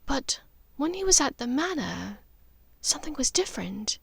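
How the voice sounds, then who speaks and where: A woman voicing a haunted, gently resolute witch mother in an audiobook, speaking earnestly and haltingly with care. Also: high-pitched, big pitch swings, creaky, no room sound, voice pushed up, heavily acted. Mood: wistful, wondering. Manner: halting, vehement, careful. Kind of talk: audiobook character dialogue.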